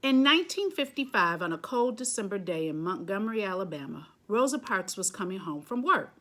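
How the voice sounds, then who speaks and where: A woman reading a children's picture book aloud, phrasing deliberately and stressing key words. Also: medium pitch, very animated, bright, forceful tone, slight background noise.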